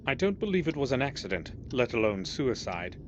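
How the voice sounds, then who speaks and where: A man's voice: mid-range voice, sing-song, brisk, lightly acted. An actor playing an earnest, suspicious man with questions about his family castle, in a video game. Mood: insistent, suspicious. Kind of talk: scripted video game voice acting. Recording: muffled, very noisy game audio.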